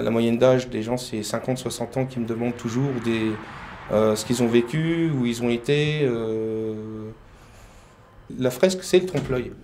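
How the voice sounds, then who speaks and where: Casting: man, painter-decorator being interviewed, halting delivery full of fillers, mid-pitched, light hiss behind. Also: a little mellow. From France. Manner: hesitant, many fillers.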